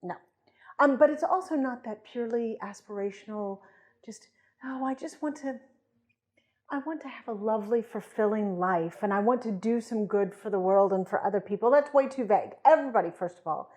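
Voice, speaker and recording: A woman co-hosts a podcast in a medium-pitched voice. She explains in a conversational, emphatic way, slipping into imagined quotes to illustrate her points. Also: a little fast, darkish tone. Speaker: podcast co-host. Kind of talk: conversational explanation with hypothetical examples.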